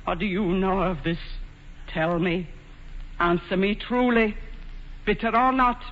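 A woman's voice: medium pitch, steady tone, measured pace, natural voice. An actress playing an imperious, lovelorn queen in a 1940s radio play, speaking in a regal, theatrical way. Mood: anguished. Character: imperious, shrewd, lovelorn ageing English queen. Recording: muffled hissy 1940s radio recording, long reverb.

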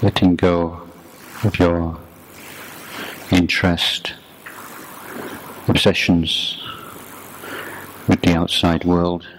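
A man guiding a meditation as a teacher, speaking in a measured, deliberate way in short phrases with long pauses. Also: low-pitched, flat delivery. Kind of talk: guided meditation talk. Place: youth retreat. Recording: clearly noisy background.

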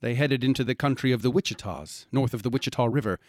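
Guy acting as cryptic folklore expert, measured n ominous, mid pitch, close dry studio sound. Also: darkish tone, dry recording, close to an everyday voice. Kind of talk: radio drama acting.

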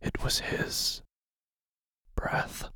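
A man narrating a scripted horror story in a deliberate, ominous, dramatic manner, pausing between short phrases. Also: whispered. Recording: loud music under the voice. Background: music.

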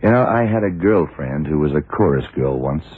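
An actor playing a shrewd, worldly, commanding male detective who explains deliberately, in the style of vintage radio drama. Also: extremely low pitch, expressive, breathy edge, no echo, full character voice. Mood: patient, knowing. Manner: deliberate, hard-boiled explaining. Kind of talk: 1960s-70s radio drama acting. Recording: muffled, narrow-band vintage radio recording.

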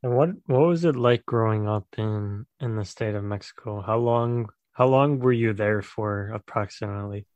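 Low-voiced American host, dry close sound. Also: male voice, moderate pace, few pauses, no echo. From United States. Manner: relaxed, filler-heavy questioning. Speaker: podcast host. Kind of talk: casual podcast chat.

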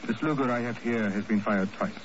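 An actor playing a coolly courteous, formal military intelligence colonel in a 1950s radio drama. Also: male voice, on the low side, close to an everyday voice. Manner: stiff, measured, formal. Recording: noisy, dull old radio recording.

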